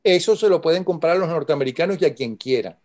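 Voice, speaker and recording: Brisk male interview host. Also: on the high side, animated, a loud crowd. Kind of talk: interview conversation.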